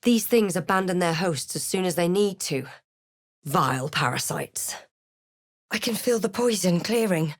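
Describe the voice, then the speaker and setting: A woman playing a snarky, wary female adventurer in a fantasy video game, with a crisp, terse and dramatic delivery. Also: fairly low pitch, animated, unhurried, dry recording. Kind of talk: fantasy video game lines, short remarks and narrated thoughts.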